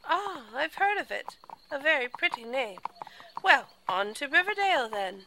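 A woman's voice: high-pitched, very animated, moderate pace, bright, forceful tone, slight background noise, full character voice, rough recording. A woman playing a chatty, tender young noble lady in an audio drama. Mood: cheerful, eager.

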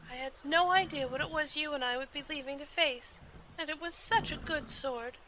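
A woman's voice: high voice, normal speed, bright, forceful tone, slight background noise, rough recording. A woman playing a headstrong young noblewoman in an audio drama, with an earnest, emphatic delivery. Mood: urgent, worried. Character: headstrong young noblewoman, anxious for her twin brother.

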